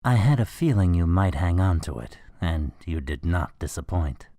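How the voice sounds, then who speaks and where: An actor playing a smug, mocking criminal mastermind in a radio drama. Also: male voice, sits low, lively intonation, normal speed, dark tone, no echo, clean studio sound. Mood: menacing. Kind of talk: radio drama villain acting.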